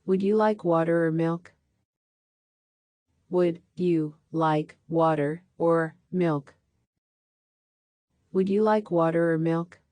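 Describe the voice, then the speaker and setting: A woman reading practice sentences aloud for a language lesson, saying each one three times with a slowed repeat. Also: lowish voice, warm tone, dry recording. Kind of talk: slow scripted sentence drills.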